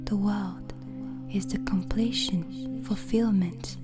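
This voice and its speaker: Woman as a slow, whispering witch over music. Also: mid-range voice, fairly even intonation, close to an everyday voice. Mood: calm, instructive. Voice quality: breathy, unvoiced whisper. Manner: slow, deliberate, soothing. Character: gentle, hospitable witch hosting a guest overnight. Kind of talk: ASMR roleplay, soft whispering.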